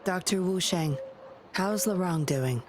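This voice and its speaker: A woman playing a confident, commanding young woman in a video game, cool, measured and teasing. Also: lowish voice, noisy background. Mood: calm.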